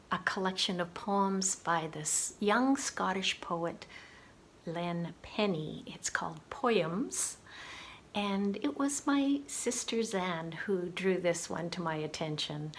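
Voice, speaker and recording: A woman hosting a book channel, talking through her recent reads in a relaxed, musing way with frequent pauses. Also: on the low side, slight background noise. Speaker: booktube host. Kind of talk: casual book review.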